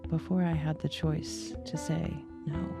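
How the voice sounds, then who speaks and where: Woman acting resigned addict narrator, rhyming verse, low voice over guitar, audio drama. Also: flat delivery, measured pace. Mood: resigned, bleak. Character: weary, resigned addict reciting rhymed verse prologue.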